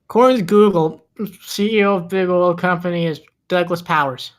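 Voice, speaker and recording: A man acts out a nervous fellow who stalls and bluffs his way along. His speech is halting and stammering, in the plain style of a script table read. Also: high voice, lightly acted. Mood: earnest.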